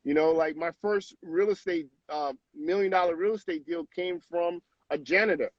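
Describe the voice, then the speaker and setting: A man in his late thirties from Haiti, a guest telling stories in a casual, animated way over a video call, often tagging on questions. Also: fairly high pitch, normal speed, no room sound, lo-fi sound. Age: 30s. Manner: animated, with frequent tag questions. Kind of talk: casual storytelling on a live stream.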